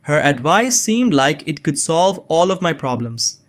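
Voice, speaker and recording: A man's voice: a little high, big pitch swings, measured pace. A young man playing a bewildered innocent framed as an assassin, narrating his own story in a scripted drama. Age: young adult. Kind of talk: first-person scripted narration with dialogue.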